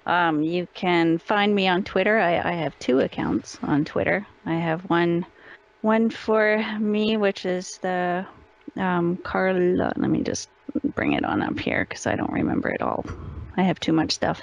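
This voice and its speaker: Woman guest on a casual interview, lots of vocal fry, slightly muffled lofi audio. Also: lowish voice, expressive, measured pace. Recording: slightly dull lo-fi call audio.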